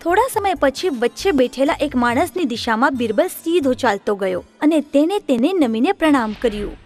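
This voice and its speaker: A woman narrating a children's story in an expressive storytelling manner. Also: high voice, normal speed, faint music behind.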